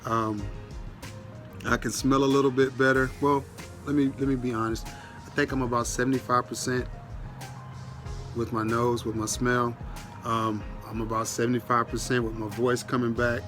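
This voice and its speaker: A man's voice: medium pitch, moderate pace, pauses often, music in the background. A man, congested and stuffy-nosed from a sinus infection, casually updating his viewers with lots of ums. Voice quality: stuffy nasal voice. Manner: relaxed, rambling, with many ums and restarts. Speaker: fragrance reviewer, channel host.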